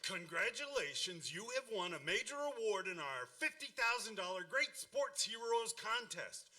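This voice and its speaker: An actor playing an excitable, blustery middle-aged father in a comedic radio play, eager and proud as a child, often repeating words. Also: high voice, normal speed, slight background noise, exaggerated voice. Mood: triumphant. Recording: distant microphone.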